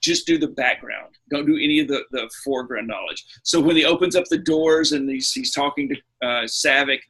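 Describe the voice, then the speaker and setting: A man co-hosting a podcast, chatting casually and telling film anecdotes in an animated way, slipping into acted-out quotes. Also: mid-range voice, a little fast, slightly rough. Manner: animated storyteller who acts out quotes. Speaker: podcast co-host.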